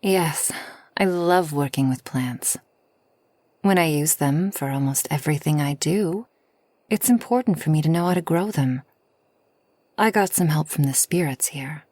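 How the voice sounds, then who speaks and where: A woman playing a kind, long-lived forest witch in a soft ASMR roleplay, gentle and unhurried, often near a whisper. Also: deep voice, dry recording. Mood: soft, serene. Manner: gentle, unhurried, soothing, slightly formal speech.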